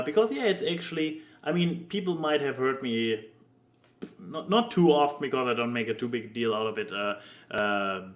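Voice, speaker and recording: A man hosting a casual podcast discussion, talking haltingly and often stammering or repeating words as he goes. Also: medium pitch, fairly bright tone. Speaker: podcast host.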